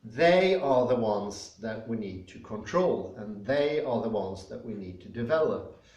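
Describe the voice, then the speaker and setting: A man's voice: on the low side, animated, darkish tone. Swedish-accented man lecturing in an echoey room. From Sweden. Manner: thoughtful, pausing with fillers. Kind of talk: spoken lecture. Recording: echoey room, mic at a distance.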